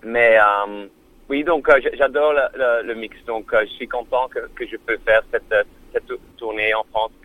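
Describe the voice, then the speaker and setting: A man speaking French as an in a radio interview, hesitant and full of fillers and restarts. His voice sits at a middle pitch. The sound is dry and close. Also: steady tone, normal speed, no echo, phone-quality sound. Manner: non-native French. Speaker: musician guest.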